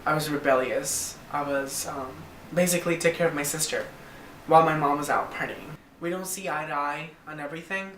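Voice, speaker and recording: A man's voice: fairly high pitch, steady tone, a little fast, a bit bright. Young American man, distant roomy sound. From US South, Virginia and North Carolina. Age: young adult. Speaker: interviewee, model. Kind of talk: candid personal documentary interview. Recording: distant roomy mic, faint noise.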